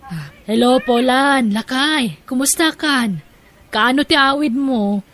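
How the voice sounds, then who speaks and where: A woman's voice: sits high, lively intonation, slow, a bit bright, a little hiss or hum, heavily acted. A woman playing a devoted, sentimental Filipino mother and wife in an emotional audio drama, with a Filipino accent. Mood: tender.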